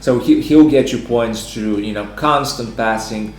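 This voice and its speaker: A man hosting a casual sports chat with a slightly hoarse voice. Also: medium pitch, measured pace. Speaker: host. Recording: roomy echo, music underneath.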